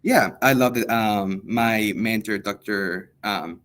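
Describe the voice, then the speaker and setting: Earnest, hesitant young man, low voice. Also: takes it slowly, a bit bright. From El Salvador. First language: Spanish. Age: young adult. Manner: hesitant, many ums, earnest. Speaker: high school history teacher. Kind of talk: interview answers.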